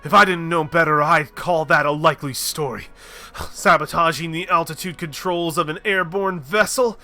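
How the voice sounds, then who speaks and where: A man's voice: fairly high pitch, steady tone, normal speed, sharp tone. A man voicing a formal, grandly spoken middle-aged male engineer leader with old-fashioned phrasing.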